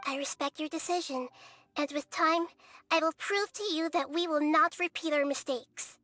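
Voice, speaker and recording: A soft, childlike wise goddess. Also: female voice, high voice, moderate pace. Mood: earnest. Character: young-looking goddess, calm, gentle and wise.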